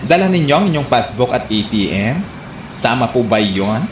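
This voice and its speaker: A man playing a polite, formal, smooth-talking survey taker with an ingratiating manner in a comedy radio drama. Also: mid-range voice, animated, moderate pace, lightly acted. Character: smooth-talking young male survey taker, scheming stranger. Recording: echoey room, faint background noise.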